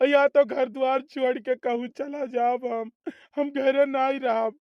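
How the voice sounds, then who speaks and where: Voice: sits high, flat delivery, measured pace, dry recording, muffled recording. Someone doing a whining tearful grown son. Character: weepy grown son, complaining about his wife.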